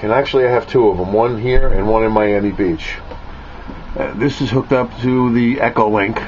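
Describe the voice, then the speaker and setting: A man casually narrating an off-the-cuff tour of his radio hobby gear, with jokey, self-mocking boasting. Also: medium pitch. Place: cluttered garage and radio room, indoors. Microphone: handheld camera's built-in mic. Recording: muffled handheld camera audio with clear noise.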